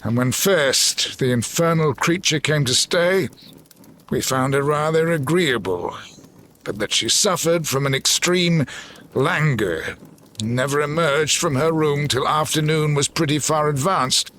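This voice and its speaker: An actor playing a grim, vengeful old general in a gothic audio drama, speaking formally and commandingly. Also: male voice, on the high side, unhurried, fairly bright tone, slight background noise. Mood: grave, haunted.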